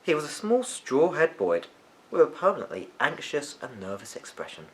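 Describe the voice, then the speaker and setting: Animated male storyteller. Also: on the high side, normal speed, poor audio. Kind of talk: expressive children's audiobook-style narration.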